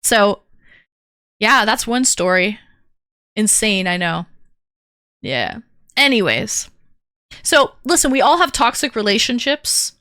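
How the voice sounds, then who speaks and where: A woman's voice: mid-range voice, very animated, slow pace, long pauses, pushed and bright, no room sound, studio quality. A woman streamer telling a personal story to her chat, candid and rambling with lots of fillers. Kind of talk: casual chat to stream viewers.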